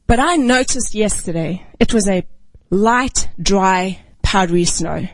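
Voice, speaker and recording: A woman ministry speaker, raised outside the United States, gives a reflective devotional talk and reads scripture, often clearing her throat. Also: medium pitch, expressive, unhurried, no echo, rough recording.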